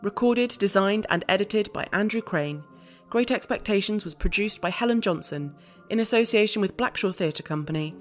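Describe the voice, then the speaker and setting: A woman's voice: mid-range voice, normal speed. A woman reading scripted closing credits as the announcer of an audio drama. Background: music.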